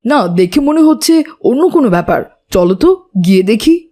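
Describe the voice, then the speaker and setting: A woman's voice: a little high, big pitch swings, moderate pace, dark tone. A woman voicing an upright, sensible, pious young boy in a children's moral cartoon, earnest and polite.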